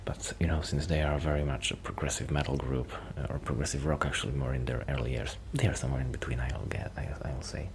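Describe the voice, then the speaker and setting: A man with an extremely low, gravelly voice speaks softly, as in an ASMR roleplay. He rambles shyly and hesitantly, like a friend chatting casually. There is clear noise in the recording. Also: fairly even intonation, fast talker, hardly any pauses. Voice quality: soft-spoken, sometimes dropping toward a whisper. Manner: shy, apologetic, hesitant and rambling. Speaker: ASMR roleplay performer playing a musician friend.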